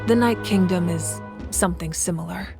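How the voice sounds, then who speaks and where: An actress playing a patient fire goddess ruler in a video game, explaining things in a measured, gentle way. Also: female voice, lowish voice, vocal fry, music in the background. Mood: calm. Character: female fire goddess ruler, calm and protective. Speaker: Pyro Archon.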